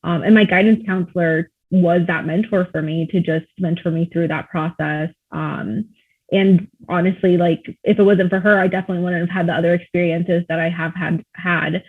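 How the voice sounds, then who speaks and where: Guy on a panel, high voice, sounds like a phone line, lots of ums. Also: steady tone, normal speed. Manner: earnest, many fillers. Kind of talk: panel discussion answer.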